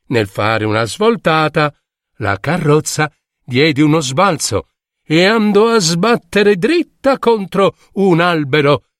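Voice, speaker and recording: A man narrates a children's fairy tale with theatrical flair. His fairly high voice swings widely in pitch, and he takes his time, lingering on repeated words. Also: fairly bright tone, dry recording, clean studio sound. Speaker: storyteller.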